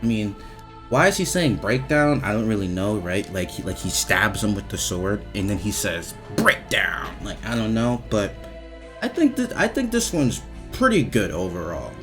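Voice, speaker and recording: A man's voice: lowish voice, big pitch swings, normal speed, fairly bright tone, faint music behind. A young man giving casual, rambling game commentary, hesitant and repetitive, with informal swearing. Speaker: video game reviewer.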